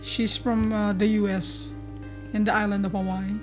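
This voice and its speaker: A woman's voice: mid-range voice, little pitch movement, normal speed, soft background music. A woman from the Philippines with a Filipino accent and Tagalog as her first language, chatting easily as co-host. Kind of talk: casual chat.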